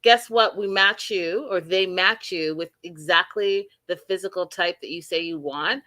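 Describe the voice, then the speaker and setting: Bright, emphatic woman recap host. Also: lowish voice, a little slow, no echo. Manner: chatty, emphatic, addresses viewers directly. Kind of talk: casual reality TV recap.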